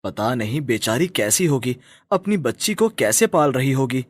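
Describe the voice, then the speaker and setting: A man's voice: medium pitch, sing-song, moderate pace, no echo, studio quality. A man playing a kind, caring husband and family friend in a story, earnest and insistent.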